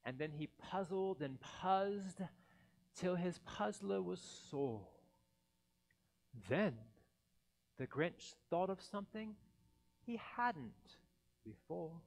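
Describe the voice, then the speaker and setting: An American man in his thirties reading a children's story aloud theatrically, with deliberate dramatic pauses, into a headset mic. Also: high-pitched, animated, creaky, poor audio. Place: indoor church beside a Christmas tree.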